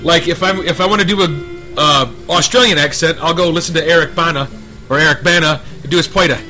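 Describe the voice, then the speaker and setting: Male radio co-host, playful banter, slightly high voice, music bed. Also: fast talker, pushed and bright, rough recording. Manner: joking, playful, trails off mid-sentence. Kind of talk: casual banter. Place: studio in Ohio.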